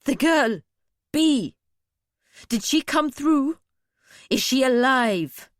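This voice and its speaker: Cruel female leader, done by a woman narrator, cold and scornful, deliberate pace, dry audiobook sound. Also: mid-range voice, no echo, lightly acted. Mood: demanding. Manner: cold, curt, scornful, commanding. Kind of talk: audiobook character voice.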